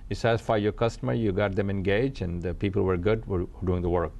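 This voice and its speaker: Quick-talking male interviewer. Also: low voice, fairly even intonation, creaky, a little mellow. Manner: brisk, short prompts and affirmations. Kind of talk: interview questions.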